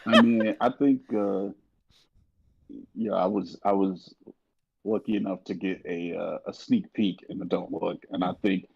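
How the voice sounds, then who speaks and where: A man chatting casually with a hesitant, halting delivery, on a dull lo-fi mic. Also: low voice, steady tone, creaky, slightly rough, dry recording. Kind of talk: casual chat.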